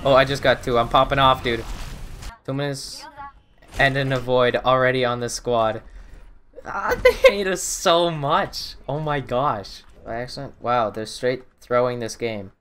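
A man's voice: mid-range voice, unhurried, fairly bright tone, slight background noise. A man giving slangy, reactive casual commentary while he plays a game.

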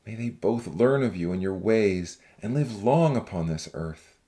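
A woman voicing a kind, calm, devout man who speaks gently and earnestly, as audiobook dialogue. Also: rumbling low, takes it slowly, voice pushed down, heavily acted. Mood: earnest prayer.

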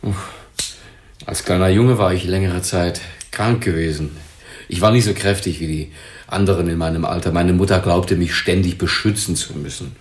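A man acting in a radio drama as a brooding, hesitant son smothered by his mother, speaking in a halting, subdued way. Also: sits low, roomy sound, natural voice.

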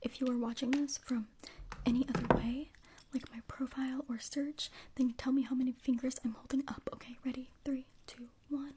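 A young woman giving interactive ASMR instructions in a hushed, breathy whisper. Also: sits high, level tone, normal speed, slight background noise. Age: young adult. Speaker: ASMR creator. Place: bedroom, indoors.

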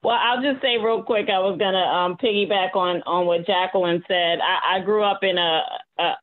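A middle-aged woman from New Jersey on a video call, telling a personal story in an animated way. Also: moderate pace. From United States, New Jersey. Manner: animated storytelling, mock quotes with big pitch jumps. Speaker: panelist, business owner. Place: home room, indoors. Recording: tinny phone-like call audio.

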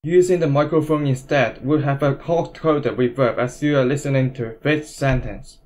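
A man's voice: mid-range voice, steady tone, normal speed, a little mellow, slight background noise. A man reading a scripted monologue as an amateur voice imitator, attempting a British accent in imitated game character voices.

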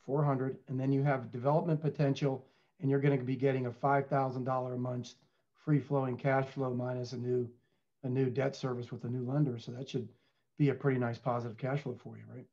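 A man's voice: medium pitch, level tone, brisk, warm tone. A man in his fifties discussing business casually as a panelist on a show, over a video call. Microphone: headset on video call. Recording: dull, lo-fi video call audio.

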